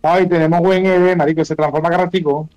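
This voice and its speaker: A Venezuelan man with a high voice, talking in loose, sweary slang like a gamer in the middle of a session. Music plays behind him as he chats. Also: level tone, quick pace, a little mellow, no room sound, highs cut off. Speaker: gamer streaming an online role-playing game. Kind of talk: casual gaming chat.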